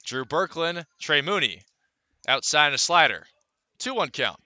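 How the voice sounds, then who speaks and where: A man giving live radio play-by-play of a baseball game, calling it in measured, deliberate phrases with pauses. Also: on the high side, animated, pushed and bright, no room sound. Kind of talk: live radio sports commentary.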